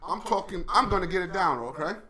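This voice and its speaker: A man co-hosting a podcast, trading casual, profane banter with loud laughing outbursts. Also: high-pitched, fast. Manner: animated, loud laughing outbursts, heavy swearing. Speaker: podcast co-host.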